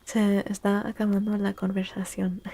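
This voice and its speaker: A woman streaming soft-spoken ASMR, chatting gently and playfully with viewers, often close to a whisper and repeating little phrases. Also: mid-range voice, level tone, moderate pace. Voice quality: soft, breathy, husky, often near whisper. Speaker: ASMR streamer, tarot reader.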